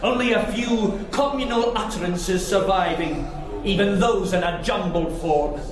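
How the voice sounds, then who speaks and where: An actor playing a cold, pompous alien intelligence posing as a preacher in an audio drama, with grandiose, archaic diction. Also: male voice, high-pitched, a little slow. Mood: cold, superior. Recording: echoing voice under loud music.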